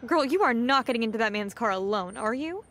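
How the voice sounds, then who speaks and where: Actress as sassy, swooping, high-pitched flirty woman. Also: animated, fast, a bit bright, a little hiss or hum. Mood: teasing. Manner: sassy, exaggerated, drawling. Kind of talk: audio drama acting.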